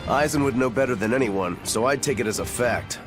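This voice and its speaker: A man's voice: mid-range voice, brisk, natural voice. An actor playing a cool, detached demon swordsman with a blunt, matter-of-fact manner in a video game. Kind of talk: English-dubbed video game cutscene acting. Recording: game music behind the voice.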